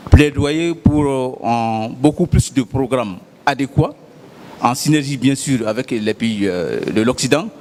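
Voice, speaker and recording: A man's voice: mid-range voice, darkish tone, a little hiss or hum. A man giving a formal public statement as a program official, with many hesitations and repeated emphatic words.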